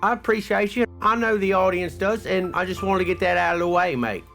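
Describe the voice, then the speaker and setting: A man's voice: high voice, brisk, gravelly, fairly bright tone, faint music behind. A man doing a put-on as an earnest male co-host, rambling with lots of mate and you know. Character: earnest male Aussie co-host bloke, fond of commercials. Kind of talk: improvised comedy banter.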